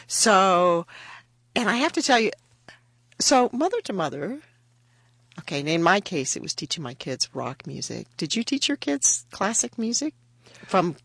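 Low-voiced chatty woman radio host. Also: a bit bright, no room sound. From US–Mexico border region. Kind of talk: casual radio interview.

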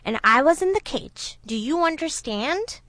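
A woman puts on a hungry male tiger from a storybook. The voice is loud and raised, commanding and impatient, as if exasperated with everyone around. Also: sits high, lively intonation, normal speed, no echo, pitched above the performer's own voice.

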